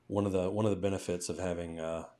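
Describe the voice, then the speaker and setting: A man's voice: deep voice, dark tone. An American man chatting casually as a language teacher on a livestream, thinking aloud and often checking in with a "right?". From southern United States. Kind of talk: casual live-stream discussion.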